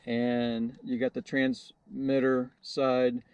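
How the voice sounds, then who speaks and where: A man's voice: medium pitch, little pitch movement, slow pace, darkish tone, clean tone, no echo. A man giving a casual, hands-on how-to explanation in a hesitant, unscripted and modest way, as the host of a DIY video. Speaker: DIY video host demonstrating a radio installation.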